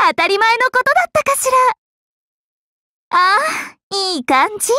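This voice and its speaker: Very high, coquettish young queen. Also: sing-song, normal speed, bright, forceful tone, no echo, studio quality, full character voice. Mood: proud, pleased. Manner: coquettish, teasing, self-assured. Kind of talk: Japanese mobile game battle voice lines.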